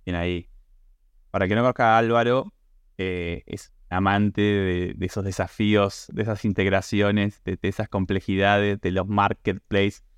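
A man with an Argentine accent and a fairly low, clean, smooth voice. He hosts a conversational podcast in an informal, chatty way, with phrasing that circles around. The sound is close and dry. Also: little pitch movement, normal speed, dry recording. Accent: Argentine Spanish. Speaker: podcast host interviewer.

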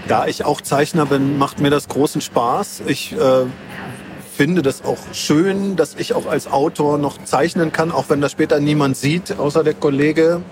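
A man's voice: a little high, a little slow, a crowd in the background. A man who works as a comic artist and author, chatting casually in an interview and explaining step by step in everyday words. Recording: clearly noisy, ambient background noise.

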